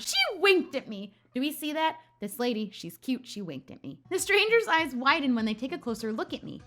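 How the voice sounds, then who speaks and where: A playful woman hosting a gaming video, reading story narration expressively and adding casual commentary. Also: sits high. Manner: playful, animated. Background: background music.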